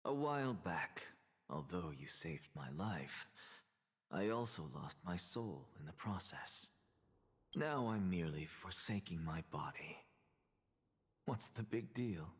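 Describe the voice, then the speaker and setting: An actor playing a proud, defiant scholar in a video game, speaking in a theatrical, articulate, haughty way. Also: male voice, low-pitched, expressive, slow, long pauses, slightly rough, full character voice. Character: proud, defiant male scholar seeking godhood. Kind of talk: dramatic scripted game dialogue. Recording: long echoing reverb, music behind.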